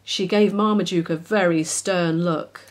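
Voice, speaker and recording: A woman's voice: on the low side, steady tone, measured pace. A woman narrating a children's story expressively as its author.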